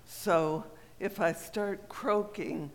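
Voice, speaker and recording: An older woman from Colorado with a raspy voice, telling a personal story in a slow, gentle way with many pauses. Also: medium pitch, in a large hall, background noise. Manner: slow, gentle reminiscing with frequent pauses and fillers.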